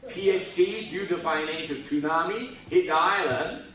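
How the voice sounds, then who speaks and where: A man's voice: on the high side, normal speed, a bit bright. A middle-aged man giving a joking speech to a live audience at a youth forum, playfully teasing the crowd and prodding them to respond. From Taiwan. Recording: echoey hall, voice from far off.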